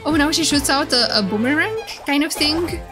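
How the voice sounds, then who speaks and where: A woman giving casual, excited reaction commentary as a streamer, playful and gushing. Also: high-pitched, normal speed. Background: game trailer music.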